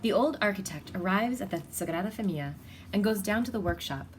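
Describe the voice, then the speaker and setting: A woman reading a children's picture book aloud in an expressive, deliberate storytelling manner. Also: medium pitch, a little hiss or hum. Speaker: storybook reader.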